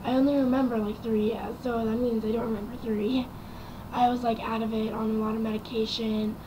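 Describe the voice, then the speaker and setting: Raspy, quiet young woman vlogger. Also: on the high side, level tone, a little fast, noisy background. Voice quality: raspy and quiet from vocal cord damage. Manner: rambling, many ums and likes. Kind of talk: casual personal story to camera.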